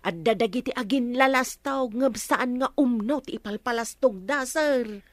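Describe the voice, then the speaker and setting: A woman's voice: fairly high pitch, moderate pace, no echo, natural voice. A woman playing a deferential, questioning sidekick in an Ilocano radio comedy, with an Ilocano accent. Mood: inquisitive. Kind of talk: Philippine radio comedy drama acting.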